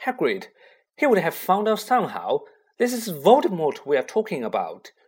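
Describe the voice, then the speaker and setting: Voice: mid-range voice, wildly swooping pitch, normal speed, a little mellow, breathy edge, pitched above the performer's own voice. A storybook reader voicing an earnest, kind young schoolboy with an eager, pleading manner. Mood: reassuring, urgent.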